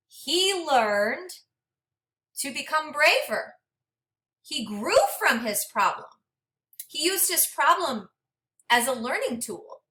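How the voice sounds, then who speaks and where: A woman reading a picture book aloud to young children like a teacher, expressively and dramatically, pausing to speak to her listeners. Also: high voice, pushed and bright. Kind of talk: interactive children's read-aloud with questions to listeners. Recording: echoey room, distant microphone.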